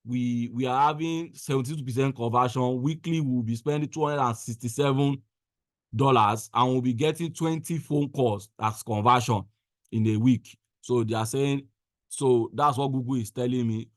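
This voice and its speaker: A man with a medium-pitched voice walks through a software tutorial step by step. He speaks instructively and often repeats a phrase to stress it. The sound is dry, with little room echo. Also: moderate pace. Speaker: tutorial presenter. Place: indoors, voice-over on a screen recording.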